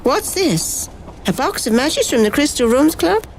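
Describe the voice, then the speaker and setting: A woman playing a brisk, secretive, commanding FBI agent in an amateur radio play. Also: sits high, very animated, gravelly, heavily acted. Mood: accusing. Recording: noticeable background noise.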